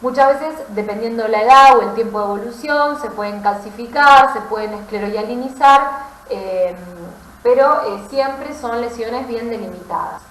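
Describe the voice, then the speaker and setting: Woman, Argentine accent, higher-pitched voice, didactic medical lecturer, explanatory delivery, brief tag questions, echoey room recording. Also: normal speed, a bit bright. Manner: didactic, often checking understanding with short tag questions. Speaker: pathology lecturer, physician.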